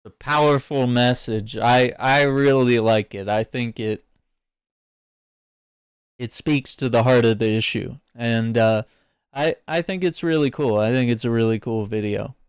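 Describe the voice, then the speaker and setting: A man talks through political clips in a loose, offhand manner, stumbling and repeating his words as he reacts. His voice is smooth and resonant, caught close and dry with no room sound, and he sits at an ordinary middle pitch. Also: measured pace, no echo. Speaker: political commentary host. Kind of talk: casual reaction commentary.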